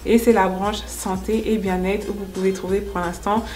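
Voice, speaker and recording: A woman's voice: mid-range voice, flat delivery, normal speed, a little mellow. A woman in her twenties, born in France and raised in the United States, speaking as an interviewed entrepreneur in an earnest, explanatory way. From born in France, grew up in the United States. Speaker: entrepreneur and makeup artist being interviewed. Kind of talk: first-person interview testimonial. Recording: music under the voice. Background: background music.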